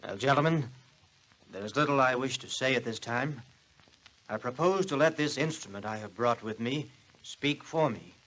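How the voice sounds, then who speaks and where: A man playing an earnest, imaginative inventor in a 1940s radio drama, speaking formally and deliberately. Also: mid-range voice, fairly bright tone, lightly acted, poor audio. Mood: solemn.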